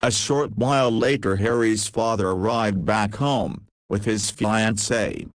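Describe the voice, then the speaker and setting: A man narrates a story in a fairly low voice. The recording is dry and close, with hardly any room to it. He reads scripted prose as the narrator. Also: slow pace, no echo.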